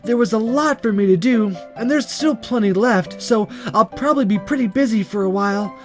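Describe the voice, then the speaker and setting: A man putting on a high, bubbly teenage girl. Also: fairly quick, faint music behind, close to an everyday voice. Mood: chatty, apologetic. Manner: gushing, chatty. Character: bubbly teenage girl, chatty, airheaded class rep. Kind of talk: visual novel read-aloud.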